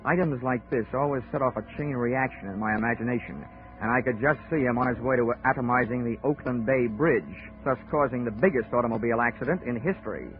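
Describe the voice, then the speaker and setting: An actor with an American accent playing a wry, hard-boiled investigator in a 1940s radio drama, dry and wisecracking. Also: male voice, mid-range voice, fast talker, natural voice. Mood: wry narration. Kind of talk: 1940s radio acting, noir narration. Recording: tinny 1940s radio recording, music behind.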